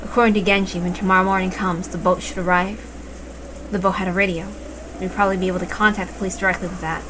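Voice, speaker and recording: A woman reading a visual novel aloud as expressive first-person story narration, with emphatic openings to phrases. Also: fairly low pitch, fast. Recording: noticeable background noise.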